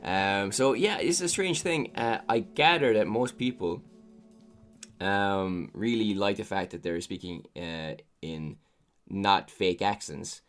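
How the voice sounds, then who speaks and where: An Irish man with a very low, deep voice, chatting casually on a podcast. He rambles, circling his points with plenty of ums and uhs. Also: takes it slowly, fairly bright tone, faint music behind. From Ireland. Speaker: podcast co-host.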